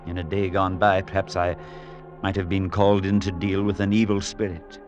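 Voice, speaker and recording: An actor playing an earnest, skeptical priest who narrates a radio drama in measured tones, with music behind him. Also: male voice, sits low, little pitch movement, close to an everyday voice. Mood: solemn. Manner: measured storytelling, anxious in dialogue.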